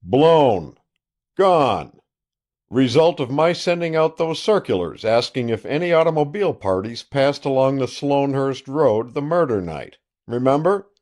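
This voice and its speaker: A man's voice: mid-range voice, sing-song, unhurried, pitched above the performer's own voice. A man voicing a blustering, boastful sheriff. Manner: loud, triumphant, blustering. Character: boastful self-satisfied male county sheriff.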